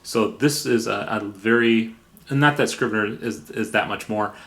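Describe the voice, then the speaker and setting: A man hosting a software review in a casual, informal way, somewhat halting, with playful repetition for emphasis. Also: on the low side. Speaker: software reviewer and video host.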